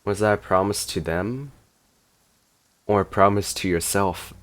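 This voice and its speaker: A man's voice: on the low side, measured pace, fairly bright tone. A man giving casual, off-the-cuff reactions as he plays through a game. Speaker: gamer reacting to a playthrough.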